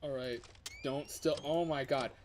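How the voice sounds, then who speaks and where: A man doing casual live gameplay commentary as a streamer, excitable and reactive, often muttering to himself as he plays. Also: mid-range voice, unhurried, background noise. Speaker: livestreamer. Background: music.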